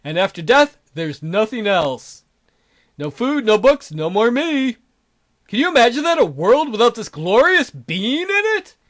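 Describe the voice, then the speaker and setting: A man's voice: very high voice, big pitch swings, measured pace, a bit bright, exaggerated voice. A man voicing a self-absorbed, fatalist god who complains in a dramatic way. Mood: gloomy, melodramatic.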